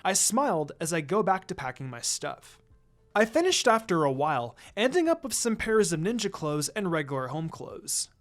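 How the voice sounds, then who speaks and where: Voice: mid-range voice, big pitch swings, moderate pace, faint music behind. A narrator reading a scripted first-person story aloud, acting out bits of dialogue along the way. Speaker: fan fiction narrator.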